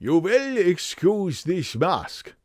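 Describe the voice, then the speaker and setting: A man's voice: sing-song, takes it slowly, a little mellow, dry recording, clean studio sound, exaggerated voice. Man putting on a haughty German-accented Bohemian count. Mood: grave, secretive. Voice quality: deep, harsh. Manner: formal, emphatic, authoritative. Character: haughty, masked giant Bohemian count, secretive and imperious.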